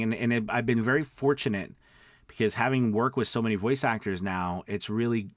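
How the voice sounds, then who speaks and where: Male coach, lowish voice, emphatic and conversational, instructing viewers in a dry, close-sounding space. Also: dry recording. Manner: emphatic, conversational, repeats check-in words like okay and all right. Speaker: voice-over coach, video host. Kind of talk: informal instructional talk to viewers.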